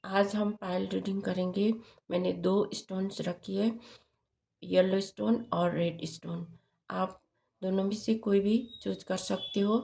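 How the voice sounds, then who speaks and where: A woman reading tarot cards to camera, hoarse from a sore throat, speaking haltingly with many fillers. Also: medium pitch, level tone, mellow. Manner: slow, halting, many fillers and tag questions. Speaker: tarot reader.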